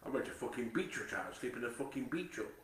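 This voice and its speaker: A man's voice: medium pitch, lively intonation, fast talker, a bit bright, echoey room, recorded from a distance. A man with a Nottingham accent, rambling in a monologue to camera, swearing constantly, repeating himself and stumbling over his words. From England. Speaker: vlogger.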